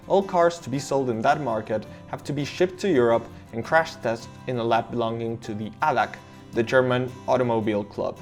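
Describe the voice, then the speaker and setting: A man from Argentina, speaking English as a second language, narrating a car safety explainer with enthusiastic emphasis. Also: medium pitch. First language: Spanish. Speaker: car reviewer.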